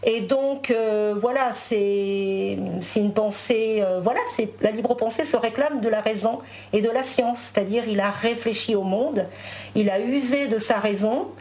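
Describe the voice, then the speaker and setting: A woman's voice: a little high, measured pace, darkish tone. Hesitant woman, native Spanish speaker. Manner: hesitant, many fillers and restarts. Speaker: guest. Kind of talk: casual interview chat.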